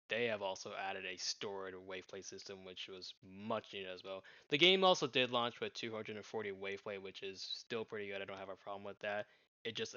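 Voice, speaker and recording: A young man giving his gaming opinions in a monotone, flat delivery, speaking as a channel host. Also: lowish voice, clear, resonant tone, no echo, highs cut off. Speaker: gaming commentator. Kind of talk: opinion commentary to camera.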